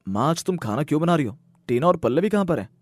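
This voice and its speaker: A man playing a higher-voiced, earnest young son. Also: animated, quick pace, a little mellow, no echo, studio quality. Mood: concerned. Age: young adult. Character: earnest young working sons, protective of their mother. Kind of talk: scripted cartoon story dialogue.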